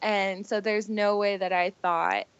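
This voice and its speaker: A woman's voice: medium pitch, level tone, unhurried, gravelly, smooth, no echo, lo-fi sound. A young American woman telling her personal story earnestly and from the heart as a podcast guest. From Costa Rica and Chile until six, then the US. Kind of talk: personal storytelling, casual conversation.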